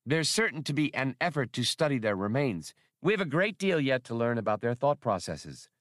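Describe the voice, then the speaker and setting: A man's voice: mid-range voice, sing-song, fairly quick, fairly bright tone, no room sound, clean studio sound, voice pushed up. A man voicing an eager, fussy male alien academic with precise scholarly phrasing in an audiobook.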